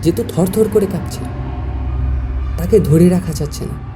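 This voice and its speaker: A woman's voice: fairly low pitch, normal speed, background noise. A woman reading a scripted first-person horror story as its narrator. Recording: music behind the voice. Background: music.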